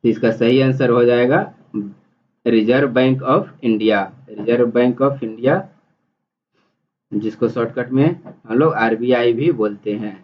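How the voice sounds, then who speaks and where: A man's voice: medium pitch, a little slow. A man teaching exam prep, reading multiple-choice questions and explaining the answers in an instructional, repetitive way. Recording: dull, muffled lo-fi audio.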